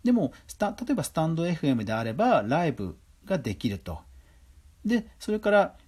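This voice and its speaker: A man's voice: a little high, big pitch swings, fast talker, a little mellow, husky. A man hosting a podcast, running through news items and adding his own conversational commentary. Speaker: podcast host. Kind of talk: news roundup commentary, semi-scripted.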